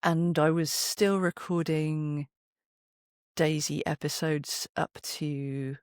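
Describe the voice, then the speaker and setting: Androgynous guest voice, medium pitch, animated anecdotal chat, close dry booth sound. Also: slow pace, smooth, no room sound. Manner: animated storytelling, acts out remembered remarks. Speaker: guest, voice actor and narrator. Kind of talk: casual anecdotal interview chat. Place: home recording booth.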